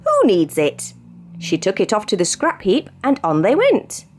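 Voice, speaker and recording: A woman with a British accent reading a children's storybook aloud in an expressive narrating style. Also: mid-range voice, normal speed, soft background music. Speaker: storybook reader.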